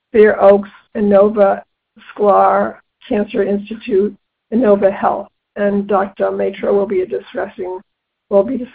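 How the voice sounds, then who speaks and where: A gracious moderator gives formal introductions between speakers at a medical program. The voice is husky and gravelly. The audio is thin and phone-like. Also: medium pitch. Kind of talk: introducing speakers at a patient webinar. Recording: tinny phone-line audio.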